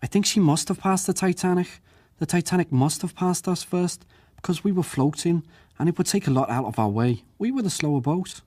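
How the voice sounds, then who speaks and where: A man acting as a working ship's crewman giving testimony at an inquiry, plain-spoken, measured and deferential, in a dramatized reading. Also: a little high, darkish tone, no room sound, clean studio sound, close to an everyday voice. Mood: deferential, careful. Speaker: witness.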